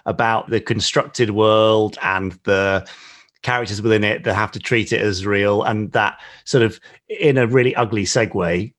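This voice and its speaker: A man's voice: fairly low pitch, fairly even intonation, a little slow, fairly bright tone. A man hosting a podcast interview, playful and joking at his own expense. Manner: playful, jokey, self-deprecating. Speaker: podcast host. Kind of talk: casual interview.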